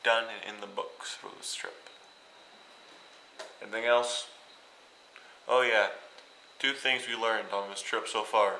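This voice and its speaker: A young man from Iowa rambling and joking as he vlogs from a hotel room, now and then bursting out loud. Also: fairly low pitch, fairly even intonation, slow, creaky, long pauses, roomy sound, a little hiss or hum, rough recording. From Iowa, United States. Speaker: vlogger. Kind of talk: casual travel vlog.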